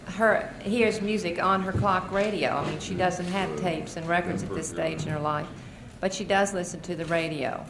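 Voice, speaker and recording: A woman's voice: on the low side, fairly even intonation, moderate pace, vocal fry, few pauses. A middle-aged American woman giving earnest, explanatory testimony as a witness at a formal hearing. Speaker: advocacy group witness and mother. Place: Senate committee hearing room. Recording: noisy archival hearing-room recording.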